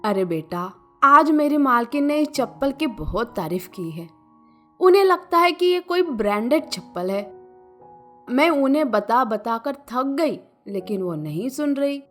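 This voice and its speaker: Woman playing a high-pitched, fond old village mother. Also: a little slow, heavily acted. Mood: questioning, fond. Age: older. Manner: exclaiming, affectionate, expressive. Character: humble, fond old village mother who cleans houses. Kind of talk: cartoon story acting. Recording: music bed behind the voice.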